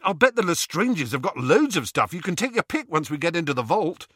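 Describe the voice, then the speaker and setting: A man's voice: mid-range voice, very animated, moderate pace, fairly bright tone, dry recording, studio quality, pitched above the performer's own voice. A man voicing a brash, eager, easily indignant teenage wizard boy in an audiobook, with a blunt colloquial manner. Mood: eager, insistent. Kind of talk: audiobook character voice.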